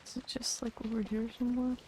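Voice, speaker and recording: A woman's voice: a little high, level tone, fast, darkish tone, a little hiss or hum. A woman streaming a game, casually muttering to herself as she works out a puzzle.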